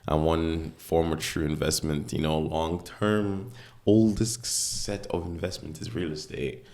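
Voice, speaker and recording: A man in his twenties with a deep voice, co-hosting a podcast in a casual, rambling chat from a home kitchen. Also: level tone, a little slow, darkish tone. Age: 20s. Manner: rambling, full of "like" and "you know". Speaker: podcast co-host. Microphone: speaking close into a mic.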